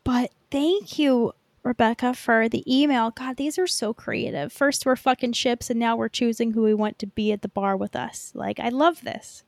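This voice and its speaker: A woman's voice: on the high side, normal speed, vocal fry, few pauses, dry recording, studio quality. A woman co-hosting a podcast in casual pop-culture chat, informal and enthusiastic. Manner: informal, enthusiastic, swears freely. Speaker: podcast co-host.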